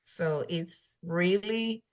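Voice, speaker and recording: A woman from Puerto Rico with a Spanish accent, a native Spanish speaker, talks slowly in a lowish voice. She tells her story earnestly and halts often to restart her sentences. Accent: Puerto Rican Spanish. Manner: earnest, halting with frequent restarts. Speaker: guest, exam passer. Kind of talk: casual interview storytelling.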